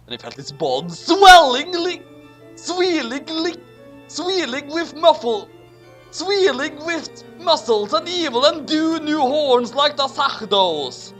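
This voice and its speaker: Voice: high voice, sing-song, slow, fairly bright tone. A person doing a comedic dramatic reading of a garbled fan story as host, in a theatrical, mock-outraged manner. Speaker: host and reader. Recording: music playing behind. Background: music.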